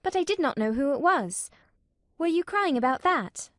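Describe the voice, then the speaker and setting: A woman's voice: high-pitched, animated, normal speed, dry recording, studio quality, voice pushed up. A woman voicing a curious, nervous ten-year-old girl who answers carefully and hesitantly. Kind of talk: audiobook character voice.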